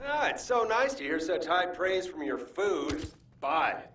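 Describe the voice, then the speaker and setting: An actor playing a cocky young fire-wielding chef hero in a video game, with wry, nonchalant delivery. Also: male voice, fairly high pitch, sing-song, a little slow, a bit bright. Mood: confident, flippant. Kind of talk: video game character acting. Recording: echoey, distant game audio, faint noise.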